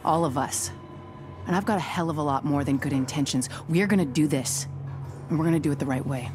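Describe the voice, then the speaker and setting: An actress playing a tough, determined young American agent commander in a video game, blunt and no-nonsense. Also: female voice, low voice, a little fast, over music, lightly acted. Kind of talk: video game cutscene acting. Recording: clear background ambience noise.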